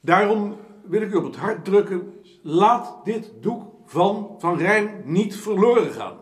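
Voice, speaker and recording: An actor playing a formal, emphatic gentleman councillor in a period radio drama, eloquent and scornful of his peers. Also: sits high, animated, measured pace. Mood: earnest, oratorical. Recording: roomy, echoing studio sound.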